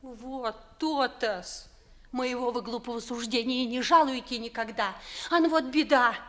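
Outspoken servant girl, fairly high voice, very animated, unhurried, knowing, theatrical 1950s radio play. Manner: lively, knowing, folksy delivery. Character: pert, shrewd, outspoken young maidservant.

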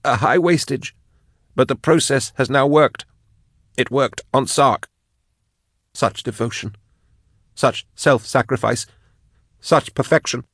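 A man voicing a tormented, devout woman captive in an audiobook, her words strained, halting and unwilling as she is forced to confess. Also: a bit bright, dry recording, studio quality. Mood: fervent, strained. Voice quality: strained, high-pitched. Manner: tight, unwilling, halting, whining. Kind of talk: dramatised audiobook dialogue.

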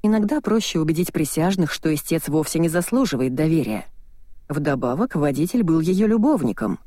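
A reader voicing a cynical, condescending male hospital lawyer in an audiobook, brisk and bossy. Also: mid-range voice, very animated, dark tone, no room sound, studio quality, natural voice. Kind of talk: audiobook dialogue reading.

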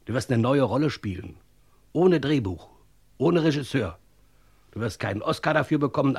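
An actor in a German radio crime drama, playing a film star's brisk, scheming friend who persuades and takes charge. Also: male voice, mid-range voice, a little mellow, slightly rough. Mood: commanding, persuasive.

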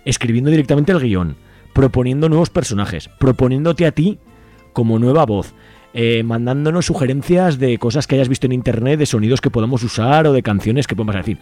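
A man's voice: mid-range voice, lively intonation, a little fast, dark tone, faint music behind. A man with a Castilian accent from Spain, presenting casually as a radio host in a chatty, colloquial way. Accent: Spanish from Spain.

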